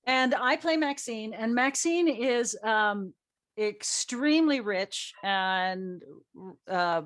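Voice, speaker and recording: A woman's voice: on the high side, lively intonation, takes it slowly, fairly bright tone, no room sound. A woman speaking as an actress and host in a casual group discussion, chatty and given to anecdotes. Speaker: audio drama actress and panel host.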